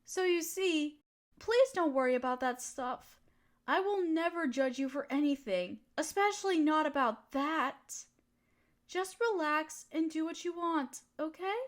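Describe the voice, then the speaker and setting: A woman's voice: high voice, expressive, measured pace. A woman playing an affectionate, teasing girlfriend in an intimate comfort roleplay, soothing and cooing as she pampers her partner. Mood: reassuring. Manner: cooing, soothing pet-talk. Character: affectionate, teasing, nurturing girlfriend of a fox spirit. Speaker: audio roleplay voice performer.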